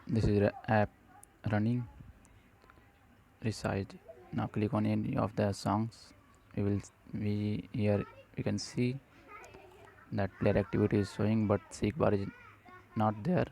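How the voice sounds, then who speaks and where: Low, mellow man giving a halting tutorial. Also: little pitch movement, gravelly, a little hiss or hum. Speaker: tutorial presenter. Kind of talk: step-by-step software tutorial narration.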